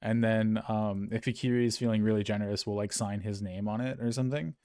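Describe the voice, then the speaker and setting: A man's voice: on the low side, steady tone, a little fast, warm tone. A man co-hosting a casual gaming podcast, reading listener emails in a rambling, self-correcting way and chuckling often.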